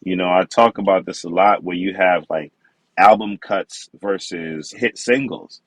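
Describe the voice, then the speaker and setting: A man's voice: low-pitched, measured pace, dry recording. A man from the Bronx, talking casually as a podcast guest. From the Bronx, New York. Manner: relaxed, conversational, slang-flavored. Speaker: podcast guest, wine expert. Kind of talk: casual interview talk.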